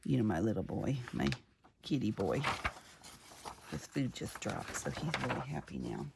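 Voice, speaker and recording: A middle-aged woman chatting casually while crafting, her voice a little gravelly from just waking up, rambling warmly from one story to the next. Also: extremely low pitch, big pitch swings, moderate pace, heavy background noise. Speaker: craft vlogger.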